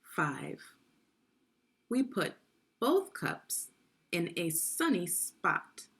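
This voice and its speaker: Slow, lively woman reading to children. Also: lowish voice. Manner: clearly enunciated, instructive, addressing young learners. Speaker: read-along teacher and narrator. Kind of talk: guided children's read-aloud with questions to the listener.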